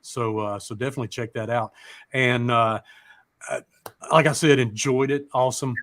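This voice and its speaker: A man's voice: mid-range voice, fairly even intonation, normal speed, a bit bright, dry recording. A man hosting a live show in casual, rambling chat, mildly profane and often losing his train of thought.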